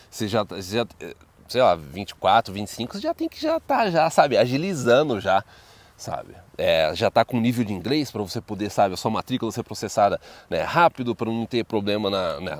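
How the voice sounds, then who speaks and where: This is a Brazilian man with a fairly low voice that moves around a lot in pitch. He chats casually as a co-host, rambling through his advice and often agreeing or repeating. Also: big pitch swings, a little fast, no room sound. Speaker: video co-host and study-abroad adviser. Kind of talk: casual chat. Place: outdoors in a wooded residential neighbourhood.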